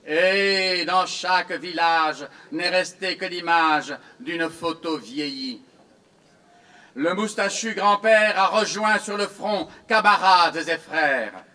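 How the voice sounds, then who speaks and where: A man reciting his own commemorative poem in a solemn, theatrical, declamatory style with measured phrasing. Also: high voice, bright, forceful tone.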